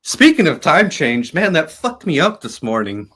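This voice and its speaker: Bright, excitable male host. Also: on the high side, moderate pace. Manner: excitable, laughs a lot, swears freely. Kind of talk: casual interview chat.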